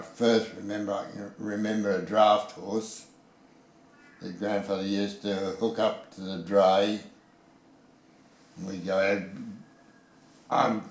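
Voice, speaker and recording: Older Australian man, lower voice, hesitant recollections, roomy echoey recording. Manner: brief, hesitant replies. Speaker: reader and interviewee. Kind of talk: casual reminiscing conversation. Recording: roomy echo.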